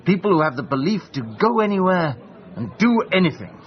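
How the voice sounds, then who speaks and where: An actor playing a pompous, grandiloquent English gentleman adventurer with an English accent, in a radio drama. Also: high voice, big pitch swings, fairly quick, dull audio. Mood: grandly self-important. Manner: grandiloquent, declamatory.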